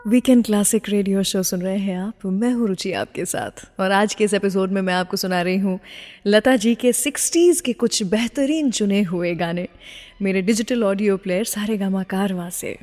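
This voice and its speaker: A woman's voice: medium pitch, normal speed, darkish tone, in a large hall. A woman hosting a radio show, telling stories in a conversational way and putting teasing questions straight to her listeners. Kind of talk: storytelling radio presenting.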